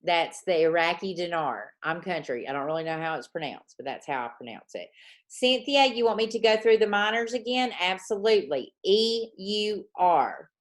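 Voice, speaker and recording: A woman teaching a trading lesson, dictating slowly and repeating points, often checking in with "okay?". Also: lowish voice, animated. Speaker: forex trading coach.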